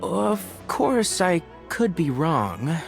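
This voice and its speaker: A man playing a calm, analytical young scholar in a video game, precise and academic in manner. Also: on the high side, very slow, vocal fry, faint music behind, full character voice. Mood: thoughtful. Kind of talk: video game character acting.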